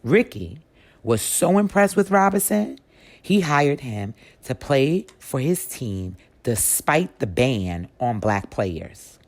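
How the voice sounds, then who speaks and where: A woman reading a history story aloud as a narrator, in a deliberate, emphatic storytelling manner. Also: extremely low pitch, sing-song, darkish tone, no room sound. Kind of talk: expressive audiobook-style read-aloud of a history story.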